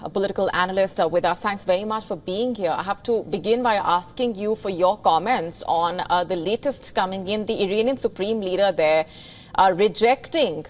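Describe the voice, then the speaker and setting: A woman anchoring live news, brisk, slipping into fillers when she ad-libs her questions. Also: medium pitch.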